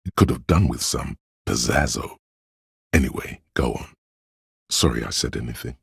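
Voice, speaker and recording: A man voicing a snobbish inner voice of artistic taste in a video game, gravelly and husky, with a pompous, deliberate, theatrical delivery. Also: extremely low pitch, expressive, dry recording, exaggerated voice. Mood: sardonic, disdainful. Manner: pompous, deliberate, disdainful, florid. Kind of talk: scripted game narration, theatrical.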